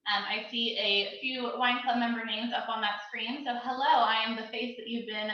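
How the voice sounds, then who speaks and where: Higher-voiced woman in a very echoey room. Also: fairly even intonation, moderate pace, a little hiss or hum. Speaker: wine club staff member. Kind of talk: casual chat. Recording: echoey, muffled, distant microphone.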